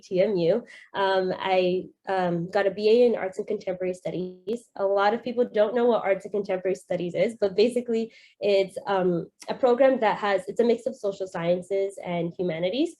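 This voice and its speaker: A woman's voice: mid-range voice, mellow. A young Canadian woman in her twenties, a youth coordinator presenting over a video call in a friendly, chatty way with many ums. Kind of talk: online presentation.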